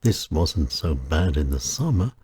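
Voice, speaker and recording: A man with a deep, low voice narrates short stories at an unhurried pace. His delivery rises and falls expressively, and his tone is mellow with a slightly husky edge. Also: very animated. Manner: gentle, measured storytelling with lilting emphasis. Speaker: storyteller.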